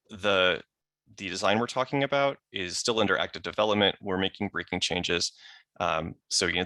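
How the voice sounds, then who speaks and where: A man with a low, gravelly voice walks through a technical presentation. He sounds crisp and bright, recorded close and dry, and keeps the explanation casual with little asides. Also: dry recording. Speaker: software engineer presenting. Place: remote video call.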